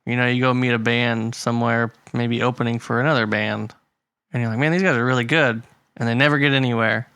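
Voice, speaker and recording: A man co-hosting a casual music podcast, talking loosely with a mid-range pitch. He laughs easily and sometimes reads aloud from websites. The sound is dry and close. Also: steady tone, fairly quick, pushed and bright, clear, resonant tone, no echo. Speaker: podcast co-host. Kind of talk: casual chat.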